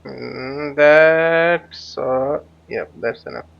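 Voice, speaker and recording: A man giving live tutorial commentary as he flies a simulator, speaking haltingly and often repeating or rephrasing himself. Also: medium pitch, vocal fry, dull audio. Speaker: flight simulator instructor and channel host.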